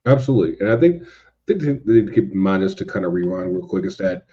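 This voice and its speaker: A man in a casual podcast discussion, speaking haltingly and circling back over his points as a guest commentator. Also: low-pitched, lively intonation. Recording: dull, lo-fi voice track.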